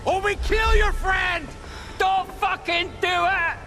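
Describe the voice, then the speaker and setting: Menacing video game gunman, shouting really high, loud music and crowd behind. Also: fairly even intonation, slow. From Scotland (in role). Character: aggressive Scottish gunman villain threatening captives. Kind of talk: video game cutscene acting. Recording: game audio under music and crowd noise.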